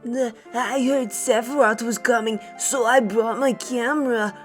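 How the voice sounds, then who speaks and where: A woman's voice: fairly high pitch, fairly even intonation, a little slow, soft background music, close to an everyday voice. An actress playing a pert, teasing and snippy young woman in a game parody.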